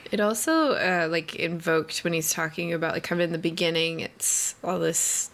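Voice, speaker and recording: A woman with a slightly low voice and plenty of fry hosts a casual, reflective conversation. She thinks aloud in halting, slangy phrases. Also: a bit bright, a little hiss or hum. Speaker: podcast host.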